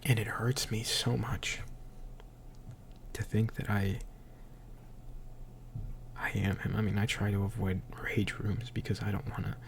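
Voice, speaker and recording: A slightly tipsy man recording a confessional audio diary, speaking in a halting, hushed, introspective way that often trails into a whisper. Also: on the low side, fairly even intonation, creaky, slight background noise. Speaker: audio diarist.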